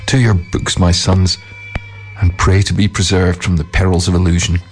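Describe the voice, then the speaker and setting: A man's voice: low-pitched, level tone, normal speed, soft background music. A man voicing a pompous, fussy holy man in a storybook, with an old-fashioned, blustering manner. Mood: dismissive. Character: pompous old male priest, fussy, easily frightened.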